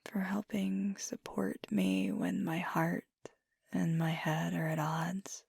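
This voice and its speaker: A low, gravelly, breathy woman acting as a young werewolf girlfriend in an ASMR roleplay. She speaks softly and unhurriedly, often slipping toward a whisper, earnest and hesitant as she confides. The sound is very dry and close. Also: steady tone, slow, dry recording, exaggerated voice. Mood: tender, grateful. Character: fiery young adult werewolf girlfriend, earnest, torn, tender. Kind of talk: intimate ASMR roleplay, soft half-whispered close speech.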